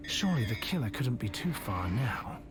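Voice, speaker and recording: A wry storyteller voiced by an actor narrates an adventure game like a storybook, with theatrical flair and disdainful asides. The pitch moves in lively swings, and music plays behind the voice. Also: moderate pace, natural voice. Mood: wry, storytelling. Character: omnipotent storyteller, wry, openly irritated by robots.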